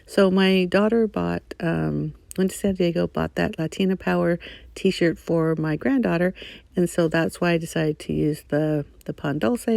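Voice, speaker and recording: A middle-aged woman talking casually over her crafting footage, rambling and chatty with many pauses. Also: fairly low pitch, vocal fry, darkish tone, no echo. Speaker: scrapbooking channel host.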